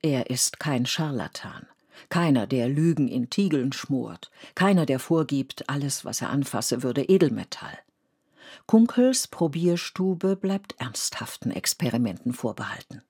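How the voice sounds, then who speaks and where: A woman playing the sober, deliberate narrator of a historical radio play. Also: extremely low pitch, animated, dark tone, breathy edge, exaggerated voice. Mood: emphatic.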